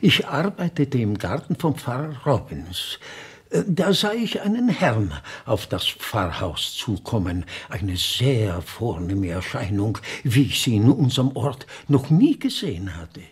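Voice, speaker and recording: Casting: an eager, doddering old villager in a radio mystery, halting speech with wildly swooping pitch and a rasp. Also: male voice, big pitch swings, full character voice. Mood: halting recollection. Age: older. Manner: halting, stammering, repeats himself. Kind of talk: old German radio crime drama acting.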